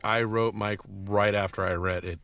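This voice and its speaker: A man's voice: fairly low pitch, fairly even intonation, moderate pace, a bit bright. A man chatting casually as a podcast guest, an audio engineer talking with enthusiasm. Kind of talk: casual chat.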